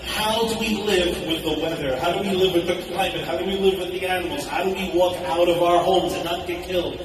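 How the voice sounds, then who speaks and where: Man speaking in an echoey hall. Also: high-pitched. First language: English. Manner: enthusiastic, conversational, poses questions to the audience. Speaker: conference speaker. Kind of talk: public speech at a conference. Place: large hall. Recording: echoey hall recorded from a distance, audible noise.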